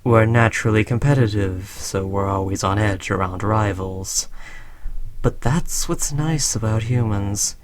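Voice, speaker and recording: A man playing a smug, condescending alien conqueror in an audio roleplay, talking slowly to a human servant with taunting, patronizing fondness. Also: on the low side, a little hiss or hum, lightly acted. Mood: musing, teasing. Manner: slow, taunting, patronizing praise. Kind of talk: scripted audio roleplay addressed to the listener.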